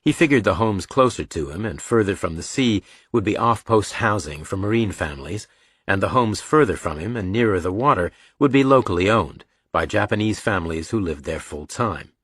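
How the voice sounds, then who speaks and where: A man's voice: fairly low pitch, lively intonation, normal speed, a bit bright, slightly rough, dry recording, clean studio sound. A man narrating a thriller audiobook in a scripted, dramatic storytelling style.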